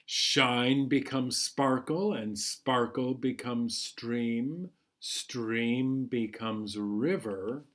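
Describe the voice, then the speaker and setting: A man hosting a children's storytime, reading a picture book aloud in a measured, singsong way. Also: medium pitch, a bit bright. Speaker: children's storytime host at a library.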